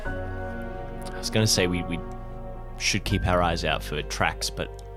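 A man's voice: sits low, slow. A man playing in an improvised audio drama, switching between casual chat and in-character talk. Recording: music under the voice. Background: music.

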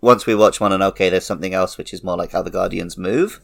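Male podcast co-host, low and creaky, chatting casually like a keen, detail-minded fan. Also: fairly even intonation, fast. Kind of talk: casual film and game discussion.

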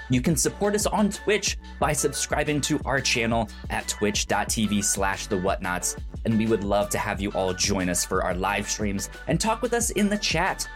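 A man with a fairly low voice hosts a casual podcast, chatting along and tripping over repeated starts of words. Faint music sits behind him. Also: a bit bright. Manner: stammering restarts, chatty. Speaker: podcast co-host.

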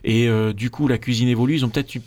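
A middle-aged man, a chef guest on a radio show, speaking in a relaxed and hesitant way with many fillers. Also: medium pitch, fast. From Marseille, France. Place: radio studio.

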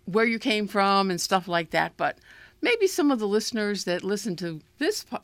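A woman's voice: mid-range voice, creaky, fairly bright tone, no room sound. A woman hosting a radio show, interviewing a guest in a chatty, informal way. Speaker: radio host.

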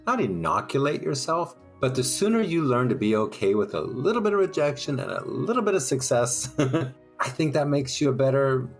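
A man's voice: mid-range voice, very animated, normal speed. A middle-aged man talking reflectively in a group discussion, candid and encouraging. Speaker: discussion participant. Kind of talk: reflective personal conversation. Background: music.

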